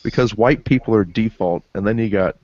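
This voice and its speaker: A man's voice: on the low side, brisk, noisy background. A man co-hosting a casual podcast chat, making blunt, sardonic quips. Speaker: podcast co-host. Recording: dry, dull lo-fi podcast audio.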